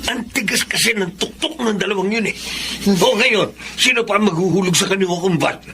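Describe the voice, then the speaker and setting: A freeloading, grumbling relative complains in a high, agitated voice. It is Filipino-accented radio melodrama, with clear noise behind the voice. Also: male voice, fairly quick. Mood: aggrieved. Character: grumbling, freeloading in-law fretting about money.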